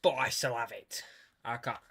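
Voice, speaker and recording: Young male student filmmaker; bright, forward tone; halting, stuttery casual commentary. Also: mid-range voice, fairly even intonation, creaky, a little hiss or hum. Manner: stutters, restarts, swears casually. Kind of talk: casual commentary on his own film.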